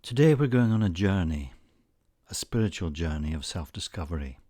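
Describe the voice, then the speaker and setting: A man narrating a scripted guided meditation in a soothing, measured manner, leaving frequent pauses between short phrases. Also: deep voice, expressive, warm tone, dry recording, clean studio sound.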